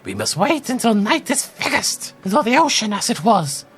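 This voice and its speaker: A man putting on a high, drawn-out voice for a creepy old woman lighthouse keeper he says sounds like a gremlin. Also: big pitch swings, a bit bright, faint music behind, full character voice. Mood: ominous. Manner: high cackling put-on old-lady voice, drawn out.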